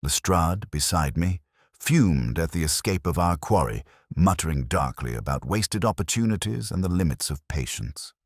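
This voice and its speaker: A man narrating a detective story in a measured, solemn, literary style. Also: low-pitched, lively intonation, dry recording, studio quality.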